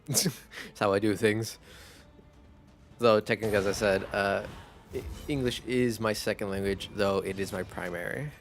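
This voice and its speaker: Rambling man streamer, low voice. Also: slight background noise. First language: Spanish. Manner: rambling, relaxed, laughs easily. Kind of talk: casual chat while gaming.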